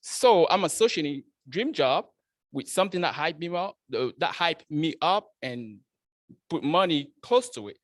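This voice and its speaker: A man from Cameroon, speaking a little higher than most men, gives a prepared speech to a club audience. He often repeats and restarts his words and pushes his emphasis upward. The recording is dry, with no room sound. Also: animated, moderate pace, dry recording.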